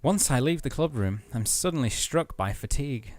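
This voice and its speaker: A man's voice: mid-range voice, expressive, moderate pace, darkish tone, dry recording. A British man co-hosting a let's play, reading game text aloud and chatting casually about it. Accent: British English.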